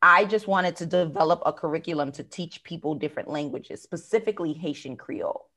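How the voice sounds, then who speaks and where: An American woman with a notably low voice speaks as a guest in an interview about running a business. She talks in a conversational, thoughtful way, pausing on fillers and restarting phrases. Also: fairly bright tone. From United States.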